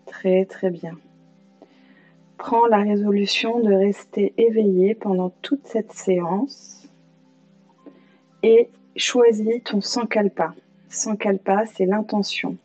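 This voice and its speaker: A woman leading a guided yoga nidra meditation as an instructor, calm and soothing, leaving long pauses. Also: medium pitch. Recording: slightly dull lo-fi sound, music behind. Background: music.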